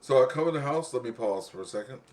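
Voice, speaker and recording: A man's voice: medium pitch, expressive, quick pace. A man hosting an amateur radio net in a casual, rambling, chatty way, often repeating himself. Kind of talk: casual ham radio chat.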